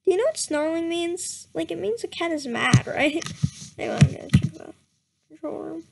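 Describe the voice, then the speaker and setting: A woman's voice: very high voice, expressive, normal speed, gravelly, heavy background noise. A young woman giving a mocking dramatic reading of a fan story, with sarcastic asides and frequent giggles. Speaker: reader.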